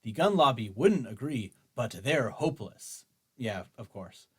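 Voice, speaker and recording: A man's voice: mid-range voice, big pitch swings, measured pace, slightly rough. A man hosting an opinion show, reading aloud and reacting in an argumentative, mocking way. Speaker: host of a commentary show on guns.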